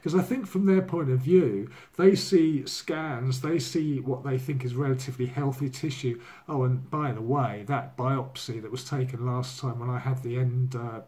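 Hoarse man in his sixties, candid home video diary, loose and rambling, camera mic. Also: medium pitch, warm tone. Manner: candid, rambling, many fillers. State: hoarse from a cold. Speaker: vlogger. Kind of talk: casual personal video diary. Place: living room at home. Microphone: laptop or phone camera at desk.

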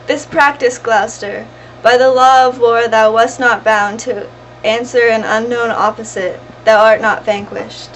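A woman playing a scornful, bitter noblewoman in amateur Shakespeare, slow and disdainful, recorded far from the mic with noise. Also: on the high side, a bit bright. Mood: defiant. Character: haughty, scornful royal noblewoman, jealous and bitter. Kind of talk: amateur Shakespearean stage acting.

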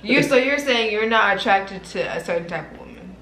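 A woman's voice: medium pitch, lively intonation, a little fast, sharp tone, roomy sound, slight background noise. A young woman from Jamaica chatting as a guest, asking blunt, teasing questions. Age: young adult. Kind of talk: casual chat. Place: small room against a plain wall.

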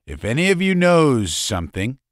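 A man's voice: medium pitch, huge pitch swings, moderate pace, dry recording, studio quality, pitched above the performer's own voice. A man reading aloud, voicing a blunt, suspicious hard-eyed man. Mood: demanding. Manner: blunt, accusing.

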